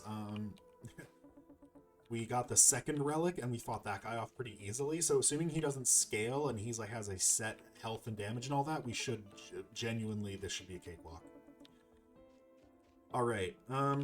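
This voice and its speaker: A man's voice: medium pitch, moderate pace. A man giving casual, chatty let's play commentary, thinking aloud over game music. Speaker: gaming video host.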